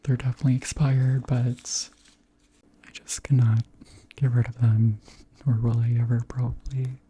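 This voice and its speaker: A beauty creator around thirty speaking softly in a whispery, breathy voice, gently chatting through products in an unhurried ASMR style. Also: mid-range voice, flat delivery, poor audio. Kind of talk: soft-spoken ASMR chat and product show-and-tell.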